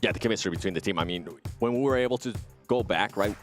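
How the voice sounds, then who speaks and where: Fast-talking low-voiced male esports analyst. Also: soft background music. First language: not English. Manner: casual, quick, conversational. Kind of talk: esports analyst desk banter.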